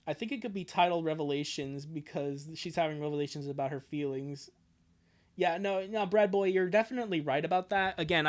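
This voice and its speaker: A man's voice: a little high, fairly bright tone. A man hosting a livestream, giving casual, chatty commentary with a joking, sarcastic manner. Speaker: livestream host. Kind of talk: casual livestream commentary on a fan comic reading.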